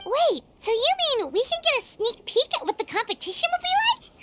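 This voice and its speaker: A woman voicing a tiny, bubbly female companion in a game cutscene, with a very high, squeaky, childlike voice, talking about herself in the third person. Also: big pitch swings, a little fast, background noise. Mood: excited. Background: game music.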